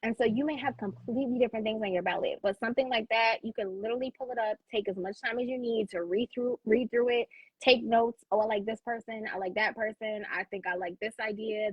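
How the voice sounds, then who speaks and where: A woman's voice: a little high, fairly even intonation, fast, breathy edge, dry recording, muffled recording. An American woman co-hosting a talk show, explaining things casually in an emphatic, informal and encouraging way.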